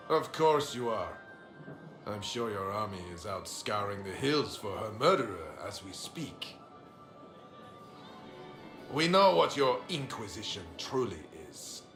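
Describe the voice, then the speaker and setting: A man's voice: on the low side, sing-song, a little slow, pauses often, a bit bright, roomy sound, soft background music, full character voice. An actor playing a haughty, belligerent nobleman in a fantasy video game, pompous and sneering. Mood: scornful.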